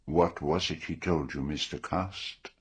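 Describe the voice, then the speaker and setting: Casting: a grave radio-drama detective, low and husky, formal, deliberate, probing questions. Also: male voice, full character voice. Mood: probing, calm. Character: methodical, probing older male detective, calm and grave.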